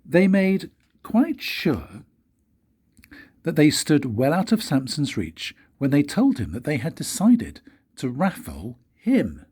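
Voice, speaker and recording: An older man, a grandfather, reading a children's story aloud in a husky, breathy voice with expressive, dramatic pauses. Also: fairly high pitch. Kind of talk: storybook read-aloud narration.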